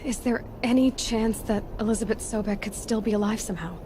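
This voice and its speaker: A young woman acted by a female performer as a headstrong, inquisitive video game huntress. Her mid-pitched voice is direct and insistent, pushing for answers, with music playing underneath. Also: a little fast, background noise, lightly acted. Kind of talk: video game cutscene dialogue.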